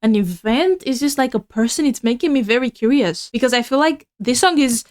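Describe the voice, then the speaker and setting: A woman's voice: on the high side, lively intonation, fairly quick. A teenage girl whose first language is not English, chatting curiously as she hosts a music reaction video. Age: teens. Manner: curious, chatty, thinks aloud. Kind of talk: casual reaction commentary. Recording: music playing in the background. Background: rock music playing.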